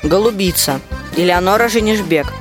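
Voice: medium pitch, normal speed. An announcer reading out a cast list in a scripted manner. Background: instrumental music.

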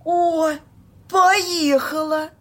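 A woman acting a bossy, streetwise young woman in an amateur audio play, husky, slangy and blunt. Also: extremely high pitch, fairly even intonation, heavily acted. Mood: excited. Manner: slangy, blunt, drawn-out exclamations.

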